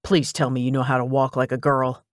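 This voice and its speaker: A woman's voice: a bass voice, level tone, a little fast, no room sound, clean studio sound, pitched below the performer's own voice. A woman voicing a brusque, self-assured older male detective with a clipped, commanding manner in an audiobook. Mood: bossy, matter-of-fact.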